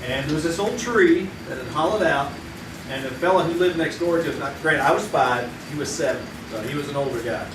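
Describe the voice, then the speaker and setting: A man plays a middle-aged friend telling a campfire story in a folksy, animated way. His voice sits fairly high. It was caught live in an echoey theater from some distance, with audible room noise. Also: fairly quick, lightly acted. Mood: lively. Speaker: campfire storyteller in a radio play. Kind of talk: live old-time radio play acting.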